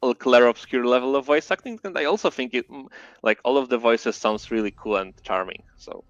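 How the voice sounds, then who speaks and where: Casual Polish-accented man on a video call, halting speech with fillers, smooth timbre. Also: medium pitch, no echo, muffled recording. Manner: halting non-native English, many fillers. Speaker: indie game developer guest. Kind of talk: casual interview chat.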